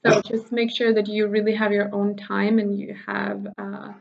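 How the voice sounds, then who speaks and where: A woman's voice: medium pitch, monotone, moderate pace, creaky, dull audio, a little hiss or hum. A woman from Finland, a native Finnish speaker, leading a spiritual workshop in casual, chatty English with frequent laughs and filler words, recorded at home. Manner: chatty, laughs often, many fillers and restarts.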